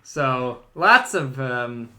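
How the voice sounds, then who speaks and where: Bright-voiced, opinionated male podcast co-host. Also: mid-range voice, expressive, drawn-out words. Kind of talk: casual film discussion.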